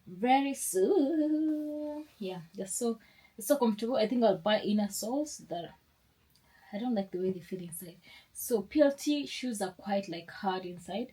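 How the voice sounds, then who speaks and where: A woman's voice: on the high side, lively intonation, moderate pace, warm tone, breathy edge. Chatty young woman vlogging. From Kenya. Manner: chatty and upbeat, rambling with restarts. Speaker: lifestyle vlogger. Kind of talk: casual sit-down vlog chat.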